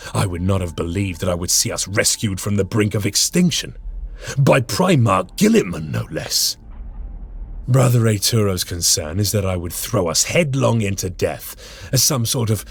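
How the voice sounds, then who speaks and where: An actor playing a grave, vengeful veteran space marine commander in a video game, speaking in a formal, solemn and measured way. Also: male voice, deep voice, husky, exaggerated voice. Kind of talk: dramatic video game cutscene acting.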